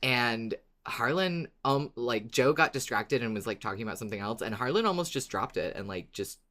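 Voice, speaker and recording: A podcast co-host with a medium-pitched voice talks in casual fan-chat style. The delivery is expressive, often acting out reactions in a dramatized way. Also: fairly quick.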